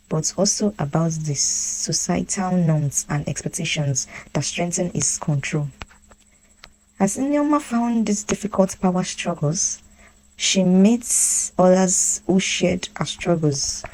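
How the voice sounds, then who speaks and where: A woman's voice: sits low, dark tone, low-quality recording. A woman reading a scripted folktale as a storyteller.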